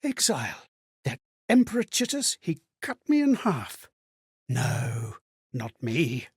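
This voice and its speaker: An actor voicing an earnest, melancholy male spirit with no memory of his own, speaking a video game monologue in formal, measured, theatrical phrases. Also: mid-range voice, huge pitch swings, slightly rough, dry recording, studio quality, full character voice. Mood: shaken. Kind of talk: dramatic scripted game monologue.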